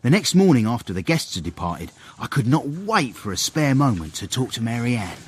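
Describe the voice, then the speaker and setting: An actor playing a young English manservant, deferential, measured and droll, acting and narrating in a period radio drama. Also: male voice, medium pitch, big pitch swings, breathy edge. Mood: droll narration. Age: young adult. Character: discreet, respectful, ambitious young English manservant. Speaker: servant and narrator.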